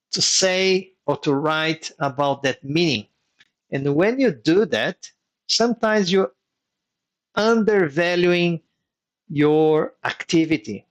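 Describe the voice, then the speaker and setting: A man whose first language is Portuguese, hosting a live online workshop as a mentor and explaining advice step by step, repeating key points. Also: on the high side. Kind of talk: live online teaching session.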